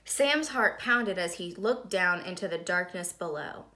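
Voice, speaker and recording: Female storybook reader, bright forceful voice, dramatic and suspenseful narration for young listeners. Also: on the low side, expressive, measured pace. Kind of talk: expressive children's read-aloud narration.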